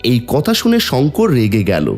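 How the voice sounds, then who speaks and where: A man's voice: medium pitch, wildly swooping pitch, moderate pace, darkish tone, faint music behind. A man narrating a scripted cartoon folk story.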